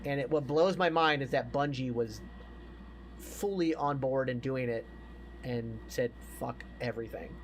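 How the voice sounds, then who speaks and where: A man's voice: medium pitch, measured pace, slight background noise. A man chatting casually as a podcast host, profane and opinionated.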